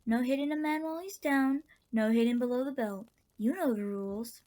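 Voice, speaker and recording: An actress playing a kindly, whimsical old auntie in a radio drama, gentle and wryly indulgent. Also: female voice, on the high side, fairly quick, lightly acted. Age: older.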